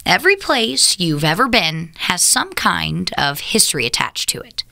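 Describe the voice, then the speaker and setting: A woman reading a news report script in an expressive way. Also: fairly low pitch, normal speed, vocal fry.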